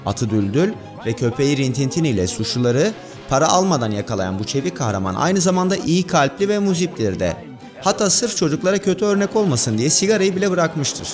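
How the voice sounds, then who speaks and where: Male narrator, mid-pitched and expressive, for a scripted list video with a music bed. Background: background music.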